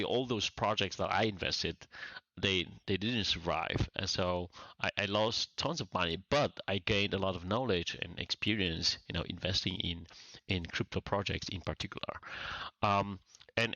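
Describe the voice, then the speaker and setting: A man from Vietnam in his forties, a startup founder as a podcast guest, talking casually and haltingly with many restarts. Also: deep voice, pushed and bright, no echo. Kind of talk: casual interview talk. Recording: dry, dull lo-fi sound.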